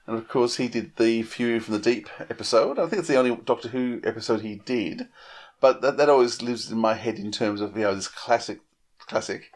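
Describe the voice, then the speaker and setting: A man's voice: mid-range voice, a little fast. A man co-hosting a podcast, giving his views in casual discussion.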